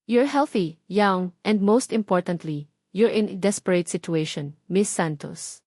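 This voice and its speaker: A woman's voice: medium pitch, a little slow, a little mellow, no echo, studio quality, lightly acted. A woman voicing a cold, calculating rich young businessman in a romance audio drama, with a clipped, emotionless manner. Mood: blunt, calculating.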